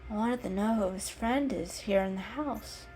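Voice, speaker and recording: A woman voicing a friendly, bookish female house cat in a storybook reading, with an eager, warm and inquisitive manner. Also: mid-range voice, normal speed, soft background music, close to an everyday voice. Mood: confused, questioning.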